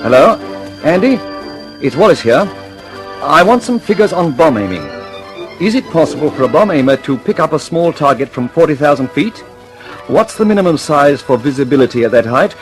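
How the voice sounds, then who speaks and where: An actor playing a harried, determined British aircraft engineer in a radio drama, urgent and insistent, stammering slightly when flustered. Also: male voice, a little high, rough recording. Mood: urgent, businesslike. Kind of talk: mid-century radio drama acting.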